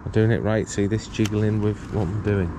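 British man, deep voice, informal angling chat, colloquial, questioning, outdoor action camera, clearly noisy surroundings. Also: steady tone, moderate pace. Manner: informal, colloquial, musing aloud with frequent questions. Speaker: angler presenting a fishing video. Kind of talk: casual chat to viewers while fishing. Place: outdoors beside a small pond. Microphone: body-worn action camera. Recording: clear outdoor ambient and traffic noise.

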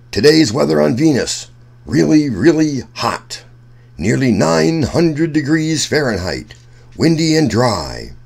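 A man reading a rhyming children's picture book aloud in an expressive, sing-song storyteller's way. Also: mid-range voice, creaky, breathy edge. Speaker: children's story reader, host.

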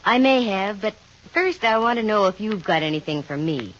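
An actress with an American accent playing a wry, self-assured private investigator in a 1950 radio drama, brisk and dry. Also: female voice, medium pitch, lively intonation, a bit bright, a little hiss or hum, natural voice. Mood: dry, pointed. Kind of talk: 1950 radio detective drama acting, with first-person narration. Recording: muffled vintage 1950 radio recording with music.

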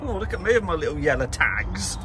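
A man in his thirties with an English accent, chatting casually and excitably as a trucker vlogging from his cab. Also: on the high side, a little fast. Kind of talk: casual vlog chat to camera. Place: lorry cab and loading yard. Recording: clear vehicle and outdoor noise.

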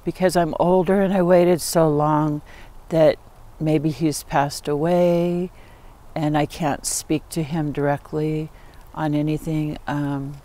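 Woman, sixties, mellow, unhurried, halting, personal recollection, documentary interview, backyard. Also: deep voice, level tone. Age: 60s. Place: outdoors in a backyard.

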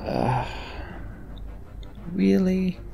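A man hosting a let's play, muttering casual commentary as he thinks aloud, with mild swearing and a gravelly voice. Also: high voice, big pitch swings, drawn-out words, highs cut off. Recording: game music behind voice. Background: game music.

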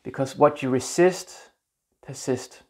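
A man in his thirties from Denmark with a Danish accent, talking earnestly to camera in a small room on a lapel mic, gentle and a little halting. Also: mid-range voice. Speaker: spiritual vlogger. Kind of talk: casual talk to camera.

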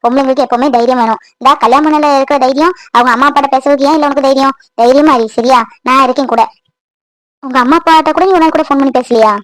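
A woman's voice: high-pitched, steady tone, quick pace, no echo, lightly acted, rough recording. A woman voicing a firm, motherly older-sister figure who lectures and reassures a younger woman. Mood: reassuring. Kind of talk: Tamil domestic audio drama.

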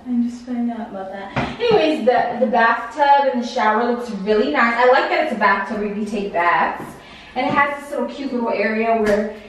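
A playful woman in her early twenties chats her way through an empty apartment. Her fairly high voice bounces around the bare walls with a long, hollow echo. The camera mic sits some distance away and picks up a steady hiss. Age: 20s. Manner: bubbly, chatty, playful. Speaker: vlogger. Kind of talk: casual apartment tour vlog. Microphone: camera mic, handheld and at a distance. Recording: echoey empty rooms, camera held away.

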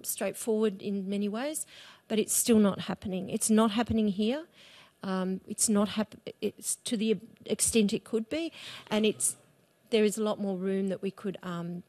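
A woman's voice: mid-range voice, a little mellow. Earnest woman on a panel. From Australia. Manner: earnest, with fillers and restarts. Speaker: panel speaker. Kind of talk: conference presentation. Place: large conference room. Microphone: desk microphone.

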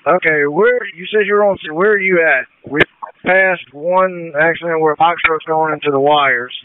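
Higher-voiced male firefighter on tinny radio. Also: normal speed, clear, resonant tone. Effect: radio transmission. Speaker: fire engine crew member. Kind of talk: operational radio traffic. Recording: tinny, noisy two-way radio.

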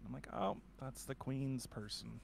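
A man's voice: medium pitch, steady tone, gravelly, darkish tone, slight background noise. A man in his forties from Canada, co-hosting a casual podcast chat from a home art studio, joking and bantering. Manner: joking, playful, quick banter. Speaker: podcast co-host. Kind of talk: casual chat on a live stream.

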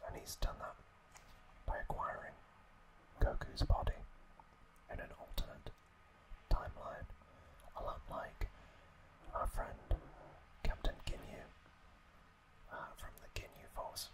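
A man narrates ASMR in a breathy whisper, right up at the microphone. His pace is very slow, with hushed, drawn-out phrases delivered as a host addressing listeners. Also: low-quality recording.

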